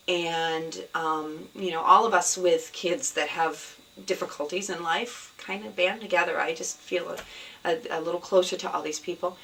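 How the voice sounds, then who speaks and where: Podcast host, woman, hoarse and scratchy from a cold, bright tone, set back from a camera mic with faint room noise. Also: fairly low pitch. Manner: rambling, many ums, soft and sad in places. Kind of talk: casual video podcast chat. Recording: somewhat distant mic, faint background noise.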